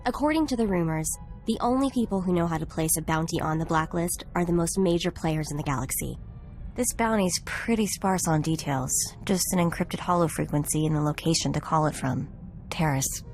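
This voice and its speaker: An actress playing an upbeat, confident bounty crew partner in a video game, brisk and playful. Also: female voice, low voice, a little mellow, faint music behind. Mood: informative.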